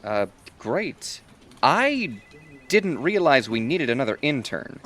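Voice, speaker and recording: A man's voice: medium pitch, sing-song, slow pace, fairly bright tone, a little hiss or hum. A man playing a sheepish, put-upon office worker in an audio comedy, hesitant and evasive. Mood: reluctant. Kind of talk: audio theatre comedy acting.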